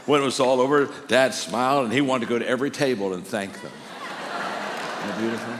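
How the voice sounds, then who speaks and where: An older man preaching a sermon, telling stories in a warm, conversational way and often restarting his sentences. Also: medium pitch, fairly quick, crowd noise, noisy background. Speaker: pastor. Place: large church auditorium. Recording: long reverb of a large hall.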